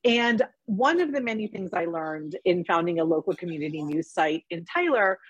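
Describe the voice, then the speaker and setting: A woman with a husky voice speaks to a club as its guest in an easy, personable tone. Her recording sounds dry and close. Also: lowish voice, lively intonation, normal speed, no echo, highs cut off. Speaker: guest speaker, news editor. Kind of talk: friendly speech to a club.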